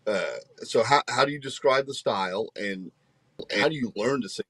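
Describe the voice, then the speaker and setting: A man co-hosting a podcast, asking a guest questions with halting "uh" restarts. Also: medium pitch, fairly bright tone, slightly rough, dry recording. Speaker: podcast co-host. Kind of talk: casual interview chat.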